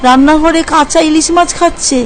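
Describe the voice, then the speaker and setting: A woman's voice: high-pitched, steady tone, a little fast, natural voice. Casting: woman as worried village wife and mother for a cartoon, homely delivery, music underneath. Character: anxious village housewife and mother. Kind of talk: cartoon story voice acting.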